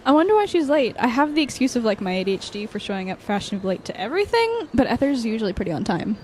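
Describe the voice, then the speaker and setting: A woman's voice: fairly high pitch, very animated, racing, darkish tone, slight background noise. A young woman playing a good-humored, easygoing college student in an audio drama, chatty and self-deprecating. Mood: light, chatty. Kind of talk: audio drama casual dialogue.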